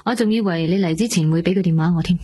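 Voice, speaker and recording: A woman's voice: on the low side, racing, dark tone, breathy edge, no room sound. An actress playing an earnest, determined young woman in naturalistic Hong Kong Cantonese radio drama dialogue. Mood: warm, teasing. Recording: music behind the dialogue.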